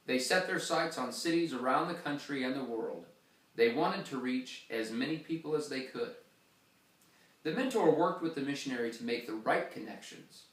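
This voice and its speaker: A man reads a story aloud with dramatic pauses, his voice rising and falling in loudness. He stands back from the microphone, and the big church room rings around his voice. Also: medium pitch, roomy sound, recorded from a distance, poor audio. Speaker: reader. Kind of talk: storytelling reading.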